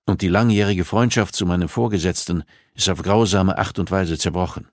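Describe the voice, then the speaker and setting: A man voicing a gruff male police investigator with a curt, deadpan, growling manner. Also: low-pitched, fairly quick, no room sound, studio quality, lightly acted. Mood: dismissive, bitter.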